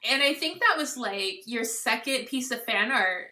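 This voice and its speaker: A woman's voice: a little high, lively intonation, normal speed, noisy background. A woman hosting a casual fan podcast, chatty and upbeat, laughing often. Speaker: podcast host.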